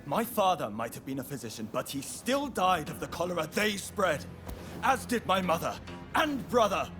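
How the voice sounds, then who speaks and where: An actor playing a fervent young samurai rebel haunted by grief, earnest and intense, in a video game cutscene. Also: male voice, a little high. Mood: anguished, bitter.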